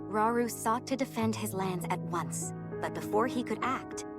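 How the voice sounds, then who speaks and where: A woman narrating a royal legend in a fantasy video game, solemn, measured and formal. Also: medium pitch, close to an everyday voice. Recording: loud music under the voice. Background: loud music.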